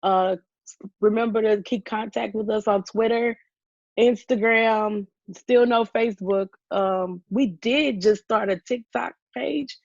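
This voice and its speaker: A woman co-hosting a casual podcast over a video call, hoarse from losing her voice and talking loudly to be heard, with frequent ums. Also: on the high side, fairly even intonation, a little slow, no room sound. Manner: talks loudly to push past a weak voice, with frequent ums. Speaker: podcast co-host. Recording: dull lo-fi video call audio.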